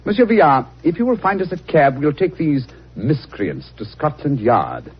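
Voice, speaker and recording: Animated, precise English detective, old radio. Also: male voice, a little high, moderate pace. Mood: stern, commanding. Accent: British. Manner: crisp, commanding, articulate. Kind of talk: 1940s radio acting. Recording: dull, noisy old radio recording.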